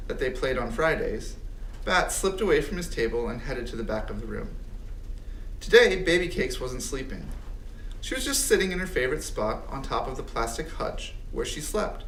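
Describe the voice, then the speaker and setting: A man reading a children's storybook aloud with expressive, animated delivery. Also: mid-range voice. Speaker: audiobook reader. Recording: roomy, distant mic, faint background noise.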